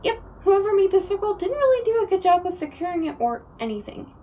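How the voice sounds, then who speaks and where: A woman's voice: very high-pitched, very animated, fast talker, dark tone, a little hiss or hum. A woman playing a cheerful, pun-loving young ghost in an audio drama, perky and breezy. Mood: breezy, playful.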